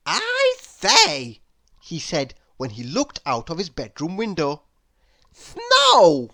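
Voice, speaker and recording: Slow, very animated woman storyteller. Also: fairly low pitch. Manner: expressive, asks the listener questions. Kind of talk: children's read-aloud narration.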